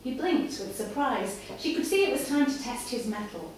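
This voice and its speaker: A young woman reading a story aloud in a measured, deliberate storytelling delivery. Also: fairly high pitch, lively intonation. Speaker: reader at a live literary night. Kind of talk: dramatic reading of written fiction. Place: live in a café before an audience. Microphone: far camera. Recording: echoey, distant camera mic, room noise.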